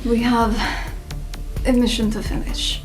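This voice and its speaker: A woman's voice: on the high side, flat delivery, measured pace, music in the background, lightly acted. A woman game master voicing a weary, earnest resistance team leader in a tabletop game, speaking solemnly and formally. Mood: urgent, serious.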